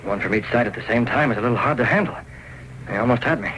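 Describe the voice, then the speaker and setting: A man plays a resolute crime-fighting hero of an old radio serial. He has a medium-pitched, commanding voice and sounds sure of himself, with light hiss on the recording. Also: fast talker, fairly bright tone, lightly acted, rough recording. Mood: confident, brisk. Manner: crisp, commanding, purposeful. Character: confident, determined male masked vigilante hero. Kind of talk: 1930s radio adventure acting.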